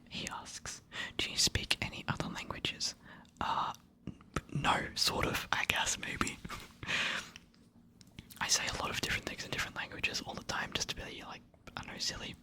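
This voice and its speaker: Slow, bright whispered ASMR voice. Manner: soft, hesitant, apologetic, often giggling. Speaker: ASMR creator answering subscriber questions.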